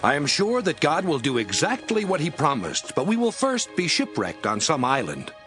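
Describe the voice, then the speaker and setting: An actor playing the apostle Paul in an audio drama, earnestly pleading and reassuring the ship's crew. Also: male voice, a little high, wildly swooping pitch, moderate pace. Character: apostle Paul, calm steadfast older man. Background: music score.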